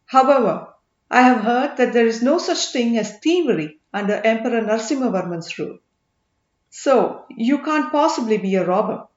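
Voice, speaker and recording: A firm, guarded young man hiding his identity as a trader , as a woman reads him. Slightly high, with a roomy echo and a muffled tone to the recording. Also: animated, moderate pace, close to an everyday voice. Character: proud young man posing as a gem merchant.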